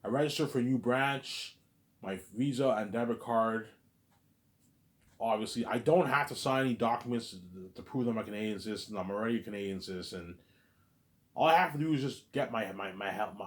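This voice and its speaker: A man talking to camera in a casual, rambling monologue, blunt and conversational, who keeps repeating and restarting his words. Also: mid-range voice. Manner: repetitive, restarts words often; blunt, conversational, asks "you know what I'm saying". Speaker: vlogger.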